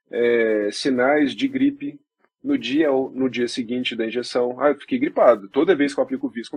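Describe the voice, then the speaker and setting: A Brazilian man, a doctor guest on a podcast, explaining in a measured way and restarting phrases as he goes. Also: mid-range voice, darkish tone, husky, no echo. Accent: Brazilian Portuguese.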